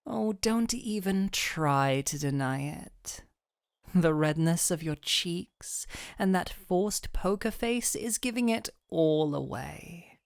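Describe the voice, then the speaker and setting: A woman playing a gentle, teasing doctor in an intimate fantasy audio roleplay, speaking softly and affectionately, close to the microphone. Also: on the low side, expressive, takes it slowly, no room sound, full character voice. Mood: playful teasing. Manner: soft, breathy near-whispers, affectionate teasing.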